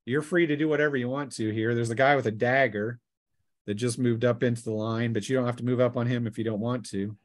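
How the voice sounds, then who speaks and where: A man with an average-pitched voice runs a tabletop role-playing game. He narrates combat casually as game master and teases the players. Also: steady tone, fast, no room sound.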